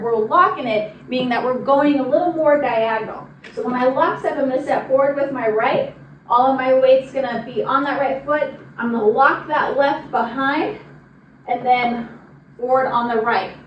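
Casting: young female dance instructor, high voice, counts steps aloud, recorded at a distance in a studio. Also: fairly bright tone. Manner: directive, demonstrating while moving. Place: large indoor dance studio with wooden floor. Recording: roomy, distant mic with noise.

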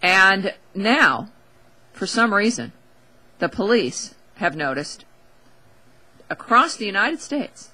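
A woman's voice: medium pitch, sing-song, slow, pauses often, pushed and bright. A woman hosting a talk show, giving a casual, opinionated monologue in a wry, emphatic, conversational way into a close studio microphone.

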